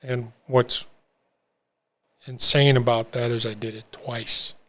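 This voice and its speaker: An older man telling his own recovery story in an interview, talking haltingly with many fillers and restarts. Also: mid-range voice, flat delivery, fairly bright tone. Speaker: interview guest. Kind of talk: reflective personal story.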